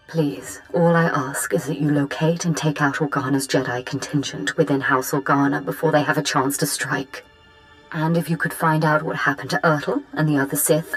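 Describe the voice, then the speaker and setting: A woman's voice: low-pitched, natural voice, rough recording. A woman playing a polite, worried noblewoman in a video game, formal and deferential. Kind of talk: dramatic video game acting.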